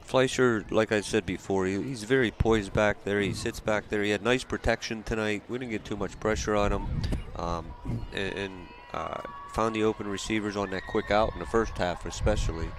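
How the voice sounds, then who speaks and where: A man giving color commentary on a high school football game, halting, with repeated words and fillers. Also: background noise. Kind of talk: postgame sports analysis.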